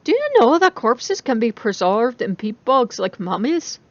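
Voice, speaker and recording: A woman's voice: a little high, very animated, a little slow, no echo, pitched above the performer's own voice. A woman puts on an upbeat young woman student for a storybook reading, her voice lifted well above an everyday register. She sounds caring and encouraging, with exclamatory cheer, on a slightly dull recording. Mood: cheerful. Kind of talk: dramatic audiobook reading.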